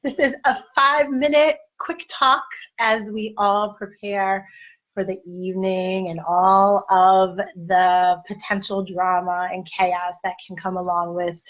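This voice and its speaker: A woman in her thirties, a life and marriage coach, giving a casual, encouraging talk to wives. Her voice is a little raspy. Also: mid-range voice, dull audio. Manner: conversational, encouraging, faith-based. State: slightly raspy voice.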